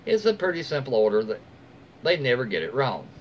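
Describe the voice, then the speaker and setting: American guy in his 40s reading stuff out loud, real animated and folksy, bit of room noise. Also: mid-range voice, brisk, lo-fi sound. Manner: folksy, informal. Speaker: host reading online stories aloud. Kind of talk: casual read-aloud with asides. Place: small room at home, indoors. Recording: faint room noise behind voice.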